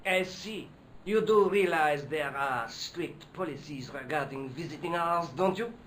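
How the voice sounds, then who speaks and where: An actor voicing a curt, officious hospital administrator who is bound to the rules. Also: male voice, on the high side, measured pace, fairly bright tone, slight background noise. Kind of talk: video game voice acting.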